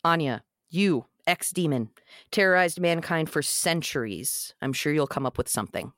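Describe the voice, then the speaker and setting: Sarcastic, awkward young guy read by a woman, lowish and very lively, self-deprecating, close dry sound. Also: moderate pace, no room sound. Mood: wry. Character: wisecracking awkward young man, loyal to friends. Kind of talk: comedic script table read.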